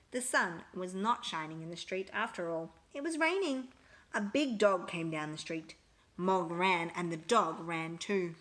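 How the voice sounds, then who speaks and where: A woman reading a children's picture book aloud with expressive, emphatic storytelling. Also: medium pitch.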